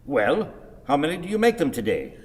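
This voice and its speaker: An actor playing an irascible, sardonic old blind husband in a radio play, grumbling and declaiming theatrically. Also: male voice, fairly high pitch, wildly swooping pitch, fairly quick. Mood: querulous. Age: older. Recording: reverberant hall.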